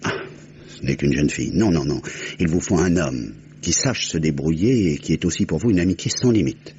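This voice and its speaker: Deep-voiced, earnest police inspector. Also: male voice, animated, mellow. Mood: urgent, earnest. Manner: measured, earnest, urging. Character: grave, insistent police inspector with a conscience. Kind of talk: old French radio mystery acting. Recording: muffled, hissy old radio recording.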